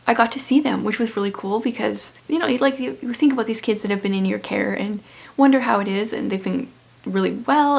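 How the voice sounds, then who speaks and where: A woman from the Canadian prairies giving a rambling, casual vlog update, her voice hushed and breathy at times. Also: fairly high pitch. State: sore throat, losing her voice. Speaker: vlogger. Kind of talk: casual chat.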